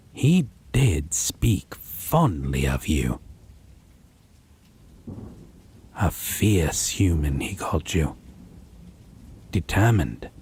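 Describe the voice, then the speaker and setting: A man playing a possessive, wounded vampire in a roleplay audio drama, speaking with mocking refinement, theatrical phrasing and a breathy, husky voice. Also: low-pitched, a little mellow, full character voice. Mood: brooding, pointed. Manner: pedantic, sardonic, theatrical, lingering phrasing.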